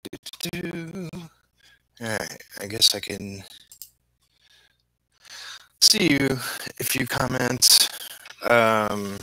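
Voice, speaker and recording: A man chatting casually on a livestream as an artist, halting and apologetic, often muttering to himself. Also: mid-range voice, lively intonation, pushed and bright, dry recording, low-quality recording. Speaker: comic book inker livestreaming his work.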